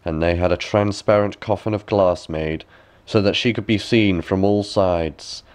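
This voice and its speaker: A man's voice: sits low, a little slow, darkish tone. A man reading a fairy tale as a bedtime story, with dramatic, careful phrasing.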